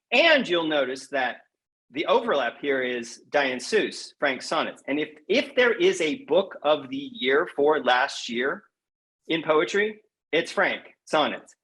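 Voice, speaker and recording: A man's voice: on the high side, fairly bright tone, poor audio. A man speaking as a guest poet in a podcast interview, thoughtful and emphatic, often restating a point for weight.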